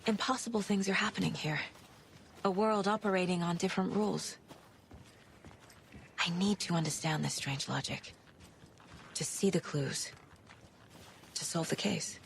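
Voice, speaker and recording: Low-voiced, composed investigator. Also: female voice, vocal fry, a little hiss or hum. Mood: pensive, determined. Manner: measured, probing. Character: composed, analytical female investigator, serious and determined. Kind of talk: naturalistic video game acting.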